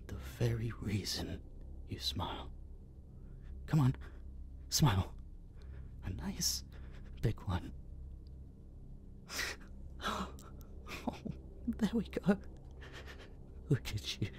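A man playing a possessive, obsessive masked kidnapper in an intimate roleplay audio drama, coaxing and menacing in a breathy, gravelly, teasing whisper. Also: on the low side, darkish tone, a little hiss or hum, exaggerated voice. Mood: doting, coaxing. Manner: slow, dominant, cooing and teasing.

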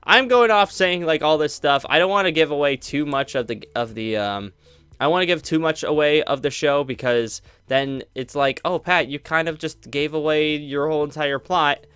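A man's voice: a little high, moderate pace, a bit bright, clean tone, no echo. A young man chatting casually to his viewers, rambling with many fillers and restarts. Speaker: YouTube roleplay creator.

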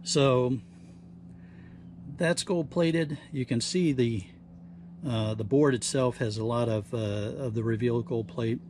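Man, medium pitch, folksy hands-on commentary, exclaims casually, thinks aloud, light background noise. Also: slow pace, darkish tone. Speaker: hobbyist host of scrapping videos. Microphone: action camera or phone near the workbench.

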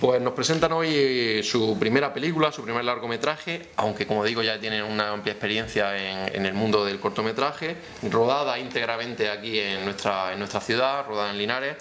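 Male councillor, thirties, fairly low voice, semi-formal rhetorical delivery with repeated phrases, faint background noise. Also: brisk, few pauses, fairly bright tone. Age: 30s. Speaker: town councillor for youth, presenting at a press conference. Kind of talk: semi-formal press conference speech. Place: indoor press room, seated at a table. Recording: noticeable room background noise.

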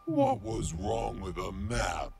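A baffled, slangy sidekick played by a man in an audio drama, his gravelly voice swinging wildly in pitch. He speaks slowly and incredulously over prominent music. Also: wildly swooping pitch, full character voice. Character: laid-back, baffled male sidekick. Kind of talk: animated audio drama acting. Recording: loud music behind.

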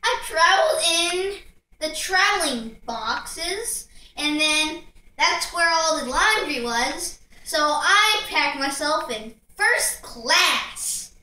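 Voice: high voice, lively intonation, takes it slowly, pushed and bright, full character voice. A performer voicing a playful, silly sock puppet celebrity in simple, improvised interview answers. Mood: cheerful. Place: living room, puppets behind a couch. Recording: echoey room, far from the mic.

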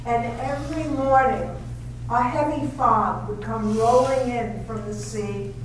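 Actress as a high-voiced anxious young wife, slow and hesitant, echoey distant room. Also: female voice, natural voice. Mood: narrating. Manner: hesitant, stammering, pleading. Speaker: amateur radio drama actor and narrator. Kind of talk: 1930s radio drama. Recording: echoey, distant, faint hiss.

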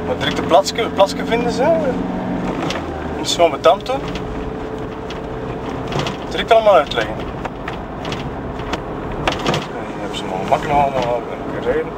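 A man with a Flemish accent playing a chatty, self-excusing witness in a murder-mystery audio drama, talking colloquially and haltingly. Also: on the high side, expressive, very noisy, natural voice. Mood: chatty, sympathetic. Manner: colloquial, halting, chuckling between phrases. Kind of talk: improvised-sounding audio drama dialogue.

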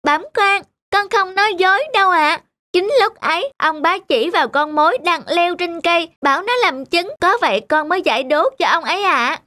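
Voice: very high voice, moderate pace, pushed and bright, dry recording. An actor voicing a clever, bold little village boy in a cartoon fairy tale, polite but pert and earnest.

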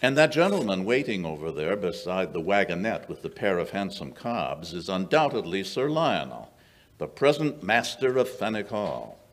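Male actor as gentleman sleuth for radio play: low register, lively swoops, shrewd, dry, measured storyteller. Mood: grave, measured. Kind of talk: old-time radio drama acting. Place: small indoor stage before an audience. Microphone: vintage-style stand microphone.

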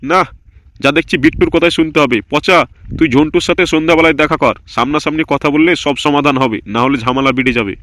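Guy doing dithery village lad, highish sharp voice, talks quick, cartoon comedy. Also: steady tone, no room sound, clean studio sound, lightly acted. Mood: wary, agreeing. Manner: muses aloud, gossipy. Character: idle, dithering village young man, anxious go-between. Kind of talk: Bangla comedy cartoon dubbing.

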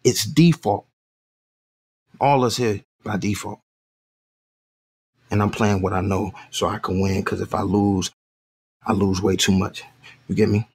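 A man's voice: on the low side, fairly even intonation, unhurried, pauses often, a little mellow, husky. A man playing a hard-pressed, protective working father in an audio drama, blunt and streetwise. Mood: defensive, subdued. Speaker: actor.

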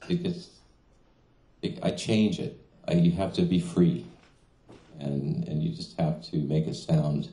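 Man, middle-aged, translator, halting with restarts, very low voice, echoey small venue. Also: gravelly, warm tone. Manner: halting, many fillers and restarts. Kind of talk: casual talk at a reading. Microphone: stand mic in front of seated speaker. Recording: echoey room.

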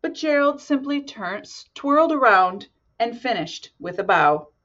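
Animated woman reading to children. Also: fairly high pitch, muffled recording. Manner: expressive, deliberate. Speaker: volunteer storybook reader. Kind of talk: children's picture-book read-aloud. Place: small office indoors.

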